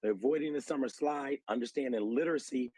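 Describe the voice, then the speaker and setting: An American man with a somewhat husky voice at a middle pitch, recorded close and dry. He speaks as an interview guest, explaining things in an earnest, articulate way, like an advocate laying out evidence. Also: brisk, mellow, dry recording. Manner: earnest, articulate advocate citing research and figures.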